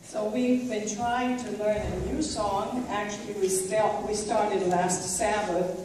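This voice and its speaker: Middle-aged woman, unhurried informal church announcer, very echoey distant recording with some noise. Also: medium pitch, fairly even intonation, darkish tone. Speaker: children's Sabbath school leader. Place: large church sanctuary, indoors. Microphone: handheld mic, recorded by a distant camera. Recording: echoey church hall from far off, background noise.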